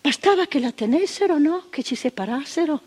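A woman's voice: sits high, expressive, fairly quick, darkish tone. An actress playing a former woman asylum nurse, telling her memories in a plainspoken stage monologue that repeats phrases for emphasis. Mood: sober. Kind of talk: theatrical monologue of remembered testimony. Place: theatre stage. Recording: long stage reverb, radio broadcast.

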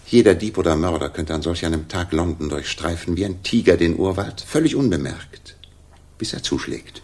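An actor playing a restless, sharp-minded gentleman detective, theatrical and articulate, in a 1960s radio drama. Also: low voice, animated, normal speed. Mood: bored, restless.

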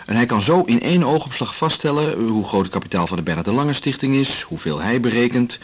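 A man's voice: medium pitch, expressive, quick pace, husky. An actor playing an affectionate, teasing and self-assured male partner in a 1980s Dutch radio drama. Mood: satisfied, assured.

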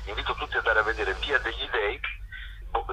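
A man's voice: medium pitch, fast, pushed and bright, slight background noise. A man gives a spontaneous radio interview over the telephone, hesitating and repeating words as he explains. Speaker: festival curator and film producer, interview guest. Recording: harsh, lo-fi telephone radio call.

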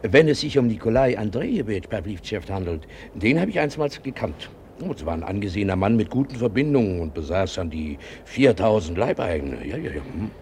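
An actor in a 1950s German radio play, playing a meddling, fawning know-it-all who exclaims, mutters and repeats himself. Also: male voice, lowish voice, expressive, a little fast, hardly any pauses, a little mellow, slight background noise. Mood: knowing, boastful. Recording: 1950s radio drama recording.

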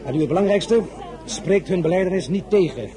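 An actor playing an ambitious, self-assured military commander in a radio drama, with an oratorical, pompous manner. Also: male voice, sits high, lively intonation, a little fast. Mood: grandiose. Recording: crowd chatter behind voice.

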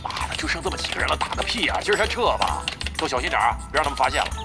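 Cocky, swearing veteran gamer, wildly animated. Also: male voice, medium pitch, very fast. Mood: grumbling. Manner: swearing, blustering, theatrical. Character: crass, shameless veteran male gamer, cocky schemer. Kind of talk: audio drama acting. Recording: loud music under the voice.

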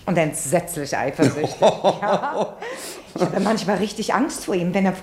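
An actress playing a flirtatious, mocking unfaithful wife in a German radio play, speaking in a playful, teasing way. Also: female voice, fairly low pitch, lively intonation, normal speed, a little mellow, echoey room, lightly acted. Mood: giggly, scheming.

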